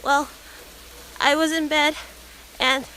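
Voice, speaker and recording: A woman's voice: high voice, level tone, sharp tone, slight background noise, exaggerated voice, poor audio. A woman voicing a frightened young boy who stammers and repeats himself in a horror audio drama. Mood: hesitant. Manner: halting, stammering, repeating words. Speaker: voice actor.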